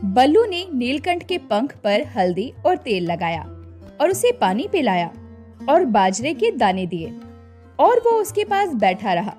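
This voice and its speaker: High, expressive woman storyteller. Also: faint music behind. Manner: slow, expressive narration. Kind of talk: scripted storybook narration.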